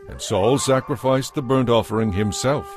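A man's voice: mid-range voice, moderate pace, soft background music. A man narrating a dramatized scripture audiobook in a scripted, storytelling style.

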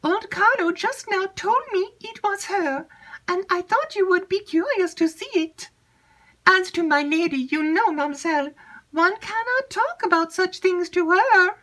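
A woman voicing an excitable, gossipy young female servant who chatters breathlessly. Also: extremely high pitch, a little slow, pitched above the performer's own voice, full character voice, rough recording. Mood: excited.

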